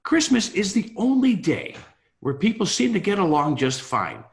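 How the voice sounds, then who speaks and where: A man's voice: high-pitched, sing-song, normal speed. A man playing a cheerful, earnest nephew in a table read, pleading in an emphatic, theatrical way. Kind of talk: amateur table read of a Victorian Christmas story.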